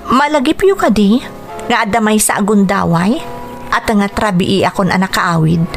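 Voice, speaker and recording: A woman's voice: mid-range voice, normal speed, creaky, faint music behind, close to an everyday voice. A Filipino woman with an Ilocano accent playing an earnest young adult daughter confessing to her father in a radio drama. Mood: uneasy, explaining. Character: earnest, confessing grown daughter, pregnant professional.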